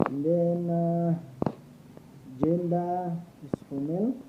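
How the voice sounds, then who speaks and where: A man's voice: on the high side, slow pace. A man with a Kenyan accent walking through an online form in a casual tutorial, switching between Swahili and English and spelling out letters one by one. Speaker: applicant demonstrating the form. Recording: tinny phone-like sound with steady noise.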